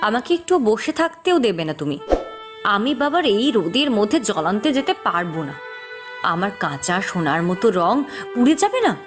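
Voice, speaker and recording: A woman's voice: mid-range voice, big pitch swings, brisk, music in the background, lightly acted. A woman voicing a vain, lazy young village daughter in a cartoon, whiny, petulant and dismissive. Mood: irritated.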